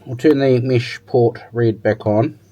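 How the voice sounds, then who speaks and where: A man's voice: medium pitch, a little slow, dark tone, low-quality recording. A man talking informally and thinking aloud as he gives a casual hands-on demo and review of gear. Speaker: motorcycle tech reviewer.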